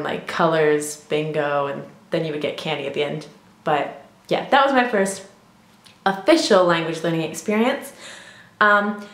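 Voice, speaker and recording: A young woman vlogging casually about learning languages, chatty and full of ums and you-knows. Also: mid-range voice. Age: young adult. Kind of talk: casual vlog talk. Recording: echoey room.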